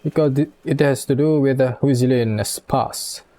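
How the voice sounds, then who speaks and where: A man's voice: mid-range voice, normal speed, background noise. A man giving casual, hesitant commentary as he plays a game, often in short murmured reactions. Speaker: streamer playing a game.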